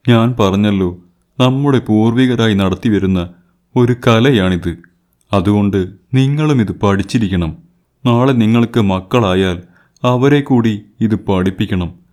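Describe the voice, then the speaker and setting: A man playing a mellow, low-voiced ageing father. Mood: patiently persuading. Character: ageing goldsmith father, gentle, patient, earnest. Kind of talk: scripted children's story acting.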